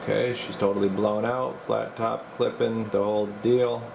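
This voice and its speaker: A man with a fairly low voice and plenty of fry in it talks casually through a repair job at the bench. He thinks aloud in an informal, muttering way, like a technician showing his work. A steady ventilation hum sits clearly behind him. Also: moderate pace. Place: indoor electronics repair workbench.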